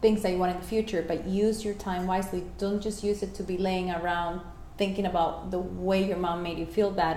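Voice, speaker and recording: A woman's voice: on the low side, darkish tone. A woman whose first language is Spanish, giving earnest, motivational answers as a guest in an interview. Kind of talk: reflective interview talk on personal growth. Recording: echoey room with faint noise.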